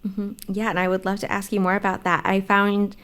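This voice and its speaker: A woman's voice: medium pitch, monotone, a little fast, fairly bright tone, clean tone. A woman hosting a live event, asking a guest questions politely into a studio mic on a small stage. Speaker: host. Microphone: studio mic on a stand.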